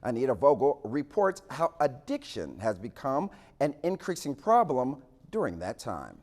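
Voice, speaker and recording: A man's voice: mid-range voice, huge pitch swings, moderate pace, darkish tone, no echo. A man with an American accent delivering scripted broadcast news as an anchor and field reporter.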